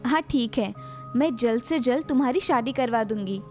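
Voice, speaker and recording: A woman's voice: fairly high pitch, normal speed, soft background music, close to an everyday voice. A woman playing a caring, practical mother and aunt in a cartoon story. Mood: motherly.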